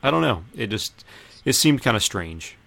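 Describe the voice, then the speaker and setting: A man's voice: lowish voice, sing-song, moderate pace, creaky, fairly bright tone, no room sound. A man hosting a casual film discussion with friends, thinking aloud in a tentative way. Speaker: podcast host.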